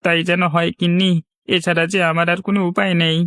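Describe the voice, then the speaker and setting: A man plays a humble cartoon trader and husband. His voice sits quite high, with a meek, plaintive delivery. The sound is dry and close. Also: flat delivery, fast, pushed and bright, no echo. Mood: dejected. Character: downhearted honest village juice seller, a husband. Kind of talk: cartoon voice acting.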